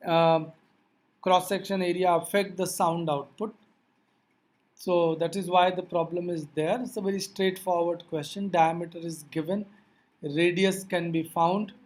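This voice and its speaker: Physics teacher doing a lecture, mid pitched voice, explains stuff and keeps reminding the students. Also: a little mellow. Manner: didactic, addresses learners with reminders.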